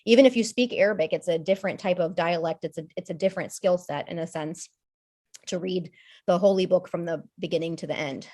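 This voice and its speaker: A woman with a slightly low voice speaks as a podcast guest in a loose, conversational way. She is in her thirties and thinks aloud, circling back with fillers, restarts and small asides. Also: a little mellow. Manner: thoughtful, rambling, many fillers and restarts. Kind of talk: casual conversational discussion.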